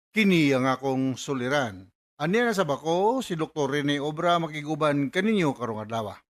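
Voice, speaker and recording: A man's voice: on the high side, fairly bright tone. A man with a Cebuano accent hosting a radio advice programme, addressing listeners in an announcing style. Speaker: radio advice host, psychiatrist.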